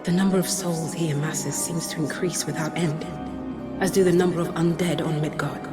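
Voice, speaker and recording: A woman's voice: low voice, steady tone, a little fast, lightly acted. An actress playing a solemn female warrior of the gods, grave and restrained, in a video game cutscene. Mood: solemn, troubled. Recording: music behind.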